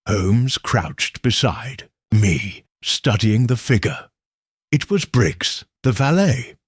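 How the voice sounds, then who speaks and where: A man narrating a detective story in the first person with slow, expressive delivery. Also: mid-range voice, breathy edge, no echo, clean studio sound. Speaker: audiobook narrator.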